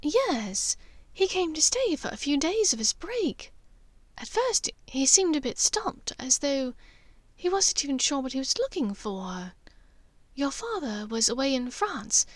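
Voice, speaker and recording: A woman's voice: high voice, big pitch swings, unhurried, no room sound, voice pushed up. A woman voicing a refined, reflective mother in an audiobook, speaking hesitantly and confidingly. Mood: grave, confiding. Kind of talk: audiobook character dialogue.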